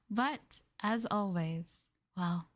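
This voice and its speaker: A young American woman streaming in a soft-spoken ASMR maid roleplay, calling her listeners master and speaking of herself as the maid. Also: medium pitch. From United States. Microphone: close ASMR microphone.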